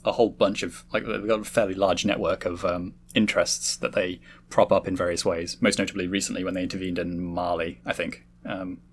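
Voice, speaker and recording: A man's voice: low voice, flat delivery, fairly quick, hardly any pauses, a little hiss or hum. A man hosting a casual podcast discussion, talking in a wordy, explanatory way. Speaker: host leading a discussion.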